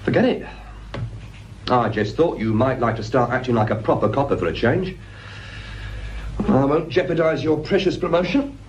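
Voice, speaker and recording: A man's voice: mid-range voice, lively intonation, moderate pace, pauses often, highs cut off, a little hiss or hum, close to an everyday voice. A man playing a keen, insolent younger detective with a British accent, urgent and needling in a radio crime drama. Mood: bitter, defiant.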